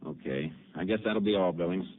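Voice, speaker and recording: A man playing a deep-voiced, matter-of-fact ranger. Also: fairly quick, background noise, close to an everyday voice. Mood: firm. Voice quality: calm, clipped, matter-of-fact. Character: steady Texas Ranger investigator and narrator. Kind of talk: 1950s radio drama narration and acting. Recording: tinny old radio broadcast.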